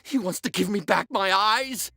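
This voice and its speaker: A man playing a blinded, half-mad, terrified prisoner in a video game, with a raspy, gravelly voice and a feverish delivery that lurches from whispers to cries. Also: high voice, normal speed, sharp tone, no echo, heavily acted. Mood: agitated, bitter. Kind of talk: dramatic video game voice acting.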